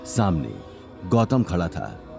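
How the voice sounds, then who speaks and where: Low-voiced man narrating with lively intonation. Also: takes it slowly, gravelly, music in the background. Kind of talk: scripted dramatic story narration.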